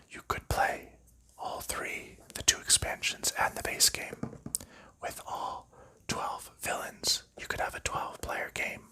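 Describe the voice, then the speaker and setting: Man whispering a calm ASMR unboxing. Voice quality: soft unvoiced whisper. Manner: slow, calm, explanatory. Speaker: ASMR board game reviewer.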